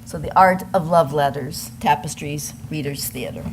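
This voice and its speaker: A woman giving a formal, scripted introduction as a service leader, recorded with clear background noise. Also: low-pitched, normal speed.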